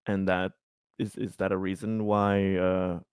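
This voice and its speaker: A man playing a tabletop game, discussing it casually in a halting, tentative way. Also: deep voice, flat delivery, darkish tone, clear, resonant tone, dry recording, clean studio sound.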